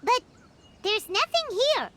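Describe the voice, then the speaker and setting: A woman voicing a small, childlike female fairy companion in a video game, squeaky, cutesy, expressive and inquisitive. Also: takes it slowly, exaggerated voice. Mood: curious, chatty. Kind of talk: animated video game dialogue.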